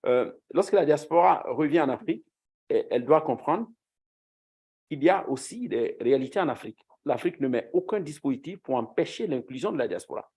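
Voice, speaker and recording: A man speaking earnestly on a conference panel as a former official, often pausing and restarting phrases. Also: a little high, expressive, a little mellow, slightly rough. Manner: earnest, hesitant with frequent restarts.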